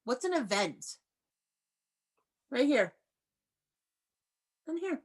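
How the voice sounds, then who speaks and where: A woman with an American accent and a fairly high voice leads an elementary read-aloud lesson on a video call. She is encouraging, prompts with questions and praises the answers. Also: sing-song, drawn-out words, breathy edge. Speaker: elementary school teacher.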